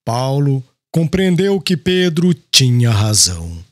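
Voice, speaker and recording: A man reading scripted literary audiobook narration in a formal, expressive manner. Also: medium pitch, takes it slowly, dry recording, studio quality.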